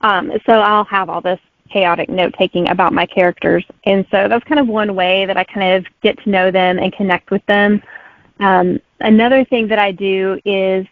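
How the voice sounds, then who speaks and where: A woman's voice: mid-range voice, steady tone, moderate pace, no room sound. A woman in her thirties speaks as an author guest, friendly and giggly, with lots of "um" and "like". Her voice comes through a tinny, phone-like line. The sound is dry and close. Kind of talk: casual interview answers.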